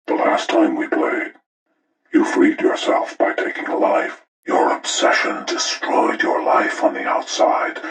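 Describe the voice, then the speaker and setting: A cold older killer in a horror game, with an extremely deep, breathy rasp. He speaks with ominous deliberation, like a judge handing down sentences. The voice rings with echo. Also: exaggerated voice. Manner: deliberate, ominous, coldly moralizing. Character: cold, menacing older male serial killer mastermind setting deadly games. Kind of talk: sinister villain monologue for a horror video game.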